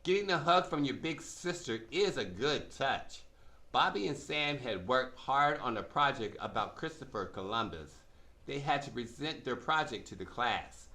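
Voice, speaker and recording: Bright, animated male children's narrator. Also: medium pitch, normal speed. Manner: simple, emphatic explaining to children. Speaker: puppet show narrator. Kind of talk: scripted children's educational narration.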